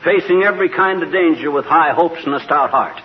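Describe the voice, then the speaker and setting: A man's voice: a little high, fairly quick, crowd noise, close to an everyday voice. Man playing a blustering, boastful radio husband. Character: blustering, boastful, jealous husband, teller of tall tales. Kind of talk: 1940s radio comedy acting. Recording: muffled, very noisy 1940s radio recording.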